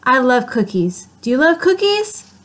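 Expressive woman reading a storybook to children. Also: sits high. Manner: gentle and expressive, slow delivery. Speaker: preschool teacher reading aloud. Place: room at home, indoors. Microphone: webcam at close range.

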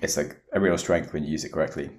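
A young man hosting a podcast, asking questions in a relaxed, chatty way with lots of "like". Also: very deep voice, fast. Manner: relaxed, chatty, uses "like" a lot. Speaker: podcast host. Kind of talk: casual interview chat.

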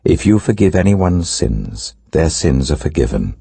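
An older man with a British accent voicing a gentle, authoritative Jesus, calm, solemn and deliberate, in a scripture audiobook. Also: a bass voice, gravelly, slightly rough, no echo, exaggerated voice. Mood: calm authority.

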